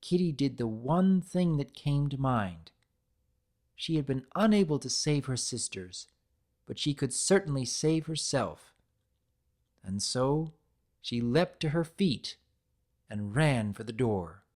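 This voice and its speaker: A woman's voice: rumbling low, lively intonation, slow pace, darkish tone. A woman giving a dramatic, scripted narration of a story as its narrator.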